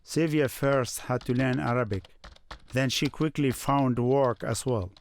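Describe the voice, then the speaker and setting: A man's voice: medium pitch, measured pace, a little hiss or hum, natural voice. A man voicing a Syrian husband and father recalling family life in scripted first-person narration. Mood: reflective.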